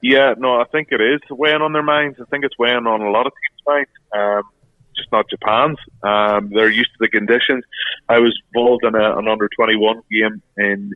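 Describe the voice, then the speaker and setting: A man, a former rugby player, speaking frankly and haltingly as a podcast guest while losing his voice. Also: mid-range voice, steady tone, no room sound. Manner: frank, halting, many restarts. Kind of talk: podcast interview over phone. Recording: tinny phone line, dry.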